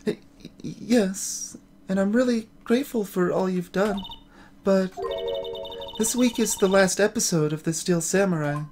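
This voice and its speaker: A woman voicing a meek, apologetic young woman in a video game, soft-spoken and hesitant. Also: mid-range voice, a little slow, faint music behind, close to an everyday voice. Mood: sheepish. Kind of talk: voiced visual novel game dialogue.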